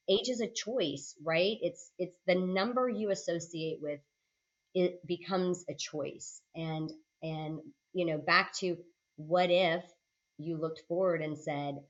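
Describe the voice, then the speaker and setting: A woman's voice: fairly low pitch, fairly bright tone, highs cut off. A woman in her late forties speaking conversationally as a podcast guest, reflective and often adding short check-in questions. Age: late 40s. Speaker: podcast guest, senior healthcare leader. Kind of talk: conversational interview, personal storytelling.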